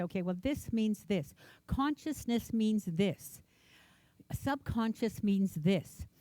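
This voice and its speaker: A woman's voice: mid-range voice, dark tone, no echo. A woman minister, a native Ukrainian speaker, gives an earnest, reflective personal sermon in a church, repeating words for emphasis.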